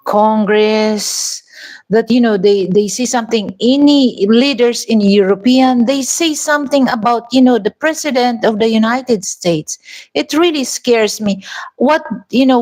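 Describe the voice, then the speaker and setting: A woman with a Filipino accent talking casually to her viewers in a vlog, rambling with many restarts and "you know"s. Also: medium pitch. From Philippines. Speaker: vlogger.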